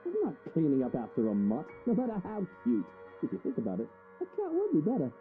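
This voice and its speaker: An actor playing a teasing, argumentative husband in a visual novel game, heard through a muffled filter. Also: male voice, a little high, huge pitch swings, fairly quick. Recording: thin band-limited sound over music.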